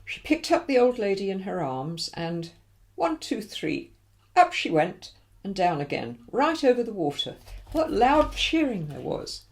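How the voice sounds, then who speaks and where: A woman's voice: mid-range voice, big pitch swings, measured pace, vocal fry, few pauses. A woman reading a children's storybook aloud expressively, with dramatic emphasis for young listeners. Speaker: volunteer story reader.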